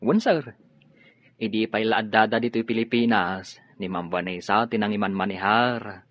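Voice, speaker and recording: An actor playing a humble, respectful family driver in a radio drama. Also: male voice, on the low side, moderate pace, close to an everyday voice. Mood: deferential. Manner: respectful, simple. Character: deferential male family driver, humble, loyal. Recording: dull lo-fi radio sound.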